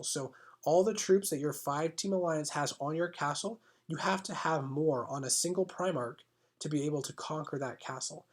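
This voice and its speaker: A man with a congested voice from a cold, explaining game rule changes in a casual, hesitant way, often clearing his throat. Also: on the high side. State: congested, slightly sick. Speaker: gaming channel host.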